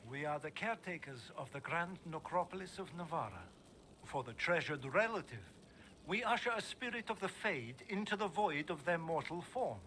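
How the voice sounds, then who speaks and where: An actor playing a solemn necromancer teacher in a video game, speaking in a formal, grandiose and deliberate way. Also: male voice, on the high side, expressive, bright, forceful tone, slight background noise. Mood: reverent. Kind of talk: video game character dialogue.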